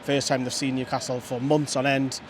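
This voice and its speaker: A man giving measured, opinionated football views outdoors. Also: medium pitch, little pitch movement. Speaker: fan pundit. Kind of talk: casual football chat. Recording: outdoor street noise.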